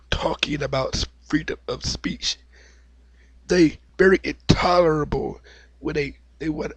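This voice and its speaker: A man with a cold and a slightly raspy voice, giving an opinionated, repetitive monologue as the host of his own video. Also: a little high, a bit bright, no room sound, low-quality recording. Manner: opinionated, preachy, repeats himself. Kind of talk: unscripted monologue vlog.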